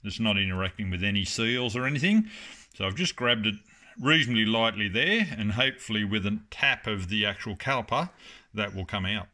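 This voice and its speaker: Bright-voiced man in a garage. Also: fairly low pitch, expressive, a little fast. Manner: plain, practical, explains step by step with fillers. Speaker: home mechanic restoring a car. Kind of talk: casual how-to narration. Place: home garage workshop.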